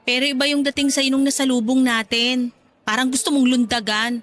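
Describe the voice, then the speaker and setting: A woman's voice: high voice, little pitch movement, brisk, fairly bright tone. A woman playing a jealous, insecure romantic partner, sulky and accusing, in radio drama dialogue.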